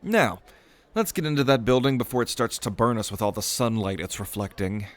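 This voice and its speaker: A man's voice: mid-range voice, brisk, natural voice. A man playing an eager, proud young noble mage prince in an audio roleplay, speaking in a theatrical, earnest way. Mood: wistful, ambitious. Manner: theatrical, earnest, grandly expository. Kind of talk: acted audio roleplay spoken to the listener.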